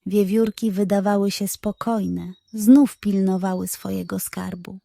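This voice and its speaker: A woman reading a scripted children's story as an audiobook narrator, in an expressive storytelling style. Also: medium pitch, measured pace, vocal fry, dry recording, studio quality.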